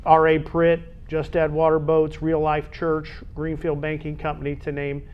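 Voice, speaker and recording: An American man co-hosting a local school sports show, talking conversationally and haltingly, often repeating words as he goes. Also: monotone, smooth. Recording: dull lo-fi audio with low rumbling noise.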